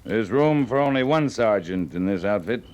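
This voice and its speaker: A man acting a calm, wry, authoritative cavalry captain in a 1950s radio western, measured and understated. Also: lowish voice, smooth, close to an everyday voice. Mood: knowing, firm. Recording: noisy vintage radio recording.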